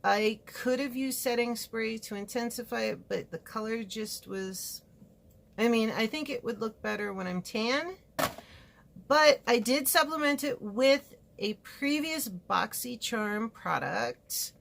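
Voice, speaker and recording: A woman's voice: fairly high pitch, a little slow, vocal fry, a bit bright. A woman from California talking frankly and rambling through a casual makeup review in her home studio. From California, USA. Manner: chatty, frank and rambling, with asides. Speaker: beauty vlogger reviewing makeup. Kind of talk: casual product review chat.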